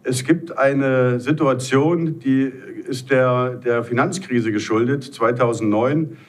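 A man in his forties from Germany, earnestly making a persuasive sales pitch on a panel. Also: takes it slowly. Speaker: network marketer. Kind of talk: promotional panel talk. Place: indoor event room.

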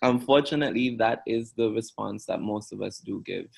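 Young man, Antiguan accent, husky creaky voice, reflective podcast guest. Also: lowish voice, normal speed, darkish tone. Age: young adult. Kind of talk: thoughtful interview talk.

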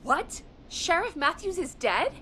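A woman playing an excitable, earnest radio producer in a video game. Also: very high voice, a little slow, a little hiss or hum, full character voice. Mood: worried.

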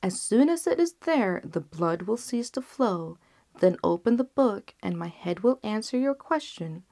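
A woman voicing a doomed male court physician who pleads in a hushed, breathy way. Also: medium pitch, lively intonation, a little slow, voice pushed up. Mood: solemn.

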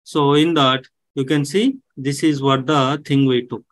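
A man in his thirties walking viewers through a software task on screen, step by step, with many "okay" and "so" fillers. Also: medium pitch, fairly even intonation, a little slow, no echo. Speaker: online tech instructor. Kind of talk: screen-share tutorial walkthrough. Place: indoors at a desk.

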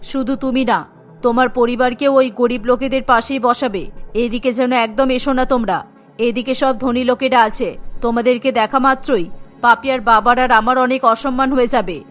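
A woman's voice: a little high, fairly even intonation, normal speed, faint music behind, voice pushed down, lightly acted. A woman voicing a snobbish rich middle-aged mother in a cartoon, who talks in a contemptuous, condescending way. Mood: scornful.

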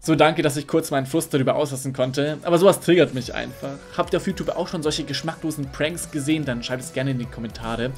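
A young man hosting a commentary video, delivering scripted narration in an indignant, sarcastic, lively way over faint music. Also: on the high side, quick pace, few pauses. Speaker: YouTube commentary host.

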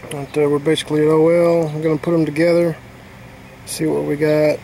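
A man's voice: on the high side, little pitch movement, a little fast, warm tone, slight background noise, low-quality recording. A man working as an HVAC technician, talking through a repair step by step outdoors in a plain, casual way with many fillers. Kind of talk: casual hands-on repair demonstration. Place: outdoors beside an air-conditioning unit.

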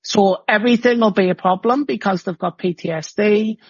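A man's voice: high-pitched, fairly quick, slightly rough, no echo, lo-fi sound. A man with a Geordie accent, a hypnotherapist guest chatting emphatically in a podcast interview over a remote call. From northeast England.

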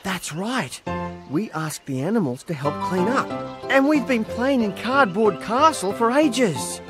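A woman's voice: mid-range voice, sing-song, a little slow. A woman voicing Max, a cheerful young farm boy puppet in a children's show. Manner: bright, enthusiastic and upbeat. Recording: studio voice over music.

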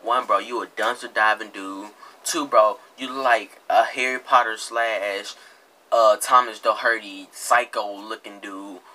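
Young American man, bright forceful voice. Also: fairly low pitch, fairly even intonation, measured pace. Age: young adult. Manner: mocking, slangy, exasperated, repeats himself. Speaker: reaction video host. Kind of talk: casual comedic reaction commentary. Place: indoor room with green screen.

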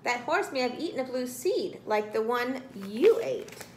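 A woman's voice: sits high, expressive, a little slow, reverberant, a little hiss or hum. A woman voicing a curious, matter-of-fact farm cow in a storybook. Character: plain-spoken, curious female farm cow.

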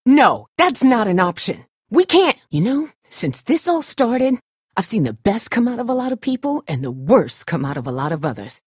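A woman's voice: fairly high pitch, big pitch swings, normal speed, a little mellow, breathy edge, no echo. A woman voice actor playing a guarded, blunt, protective young survivor of a zombie apocalypse in a video game, with a dry delivery. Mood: urgent, frustrated.

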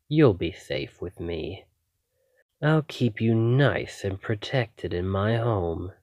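Obsessive male vampire played by a man, ASMR roleplay. Low, close and dry, condescending, quietly menacing command. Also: no room sound. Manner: slow, deliberate, condescending, commanding. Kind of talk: ASMR roleplay, scripted acting.

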